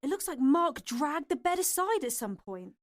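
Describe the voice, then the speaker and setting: An actress playing an earnest, desperate young woman in an audio drama, hesitant and excitable. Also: high-pitched, moderate pace, no echo. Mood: hushed, then excited.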